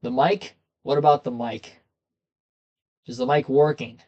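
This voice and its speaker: A young man with a slightly hoarse voice, chatting casually with viewers as he hosts a racing commentary livestream from a desk in a small room. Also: mid-range voice, fairly even intonation, measured pace, mellow, muffled recording. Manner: chatty, talks straight to his viewers.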